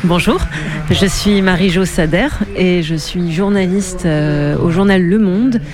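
A woman journalist answering interview questions in a measured, explanatory way, often pausing to hesitate. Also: on the low side, over loud music. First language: Arabic-speaking background.

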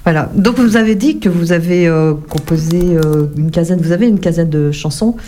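A woman interviewing a songwriter, asking courteous, measured questions with frequent pauses. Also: on the low side, warm tone, slight background noise. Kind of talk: radio-style interview.

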